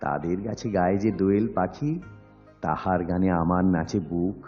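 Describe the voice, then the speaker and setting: A man giving an expressive recitation of verse, with music behind him. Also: sits low, very fast. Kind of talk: expressive Bengali poetry recitation. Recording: dull lo-fi sound with music.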